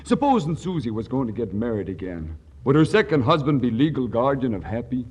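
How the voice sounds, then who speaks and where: A vain, none-too-bright cowboy star in 1940s radio comedy. He grumbles in folksy, ungrammatical phrases. His medium-pitched voice rises and falls dramatically. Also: big pitch swings, brisk, breathy edge, highs cut off. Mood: sly, defensive.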